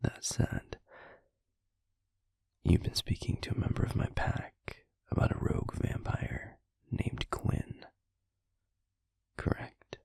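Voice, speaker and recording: A man playing a stern, guarded werewolf pack alpha in an audio roleplay, speaking formally and deliberately in a gravelly, husky voice. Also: darkish tone, no echo, whispered, close to an everyday voice. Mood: grave, measured. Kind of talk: scripted audio roleplay acting.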